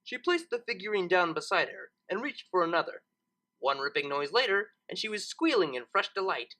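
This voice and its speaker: Female story narrator. Slightly low voice, animated melody, expressive scripted reading of fiction. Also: fairly quick.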